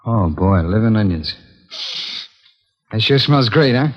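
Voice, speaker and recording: Nonchalant murderer, folksy and rambling, swinging intonation, dull old radio recording with hall reverb. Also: male voice, fairly low pitch, expressive, a little slow. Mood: hungry, cheerful. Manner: rambling, matter-of-fact, folksy. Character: chatty, nonchalant male killer confessing without remorse. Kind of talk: 1950s radio acting.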